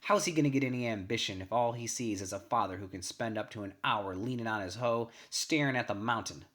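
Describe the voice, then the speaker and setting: A man's voice: on the low side, a little fast, a bit bright. Scornful farm mother played by a man, folksy and emphatic, nagging in storybook narration. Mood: reproachful.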